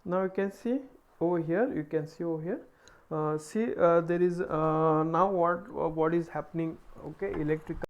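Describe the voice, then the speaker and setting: A man with a high voice and an Indian accent teaches an engineering class live online. He explains step by step, asking questions and answering them himself. He often repeats his phrases as he goes. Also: steady tone, normal speed, lo-fi sound. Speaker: engineering lecturer.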